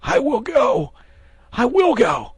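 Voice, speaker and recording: A man's voice: moderate pace, a bit bright, husky. A man voicing a wounded, terrified soldier who begs for his life. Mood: panicked. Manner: pleading, high and strained.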